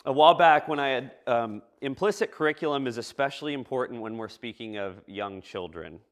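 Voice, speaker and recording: A man preaching a reflective message to a congregation in a measured, thoughtful way with deliberate pauses. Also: mid-range voice. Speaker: preacher giving a church message. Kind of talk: reflective sermon addressed to a congregation.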